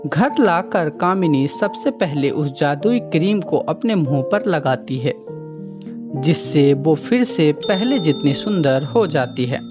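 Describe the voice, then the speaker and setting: A woman storyteller narrates a cartoon moral tale in a calm, measured way. Her voice is low and her pace is slow. Loud music plays under her. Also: highs cut off. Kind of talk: scripted narration.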